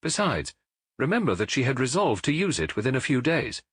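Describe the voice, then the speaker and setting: A brilliant, commanding Victorian detective played by a male audiobook reader with an English accent. His pitch moves freely as he lays out orders with precise authority. The voice is a little husky and recorded very dry. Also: sing-song, brisk, no echo. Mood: confident, reasoning. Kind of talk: audiobook character acting.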